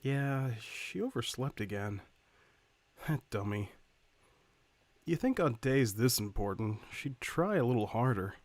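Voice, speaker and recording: A man playing an earnest, worried high school student who narrates a visual novel in the first person. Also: very animated, a little slow, long pauses, no room sound. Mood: matter-of-fact.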